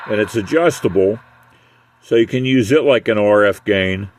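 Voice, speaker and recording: A man's voice: on the low side, normal speed. Older American man, noisy recording. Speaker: radio hobbyist demonstrating equipment. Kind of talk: casual hands-on demonstration.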